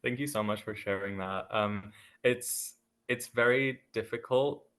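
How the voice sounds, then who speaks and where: A young man takes part in a panel talk, speaking in a thoughtful, measured way and pausing often on "um." His voice sits somewhat low. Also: fairly bright tone. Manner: thoughtful, measured, frequent ums. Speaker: panel guest. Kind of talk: casual panel discussion.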